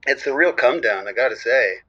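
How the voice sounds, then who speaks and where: Comedy call-in: sincere, somber male buddy, medium pitch, hesitant and rambling, muffled line. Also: animated, a bit bright. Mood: earnest, somber. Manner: halting, rambling, earnest. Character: earnest, somber male old friend phoning radio show. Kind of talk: improvised comedy radio call-in acting. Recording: dull, lo-fi phone-in sound.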